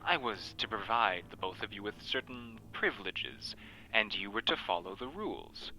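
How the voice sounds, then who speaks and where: Courteous, sharp-edged sinister benefactor. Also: male voice, on the low side, big pitch swings, normal speed, a little hiss or hum, full character voice, low-quality recording. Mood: stern, menacing. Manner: formal, precise, commanding. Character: Cyrus, courteous, formal, sinister male benefactor. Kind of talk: audio drama acting. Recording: faint music behind.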